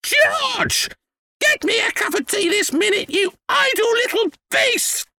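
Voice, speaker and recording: A storyteller voicing a cantankerous, rude old grandmother who scolds and demands in a shrill voice. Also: animated, slow, sharp tone, no room sound, exaggerated voice. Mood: furious scolding.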